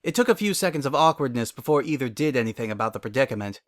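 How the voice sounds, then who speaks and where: A man's voice: medium pitch, expressive, rapid-fire, no room sound. Man narrating a story, dry close sound.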